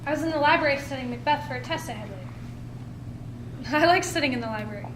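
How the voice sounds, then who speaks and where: A young woman playing a shaken high school girl who tells her story earnestly. Her voice is very high, with a theatrical reading style, in a noisy, echoey room. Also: lively intonation, brisk. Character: earnest, shaken teenage girl student recalling events. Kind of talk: dramatic readers theater monologue.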